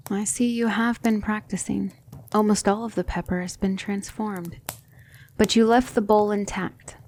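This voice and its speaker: Casting: woman as a patient demon tutor for ASMR roleplay, formal and instructive, creaky mid-range voice, slight noise on the recording. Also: mid-range voice, lightly acted. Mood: composed, approving. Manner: measured, formal, instructive, gentle. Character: patient, exacting female demonic magic tutor, gently formal.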